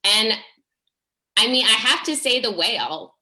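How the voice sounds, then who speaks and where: A woman's voice: on the high side, lively intonation, unhurried, sharp tone, poor audio. A woman speaking effusively and enthusiastically in a casual discussion, as a theater director and teacher.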